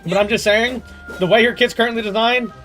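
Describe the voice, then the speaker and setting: A young man chatting casually on a game livestream in an animated, slangy way, swearing freely. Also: extremely high pitch, fairly quick, music in the background. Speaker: game streamer.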